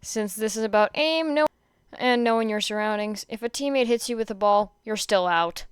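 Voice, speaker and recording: A woman voicing a weary male teacher in a story, giving instructions in a dry, deadpan drawl. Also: medium pitch, moderate pace, smooth, no room sound, lightly acted. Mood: dry, matter-of-fact.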